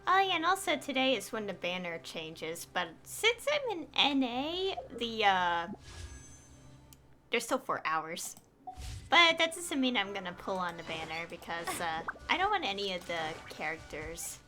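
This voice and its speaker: Young woman, casual game livestream, hesitant and rambling, lively pitch, slow pace. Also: over music. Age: young adult. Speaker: game streamer.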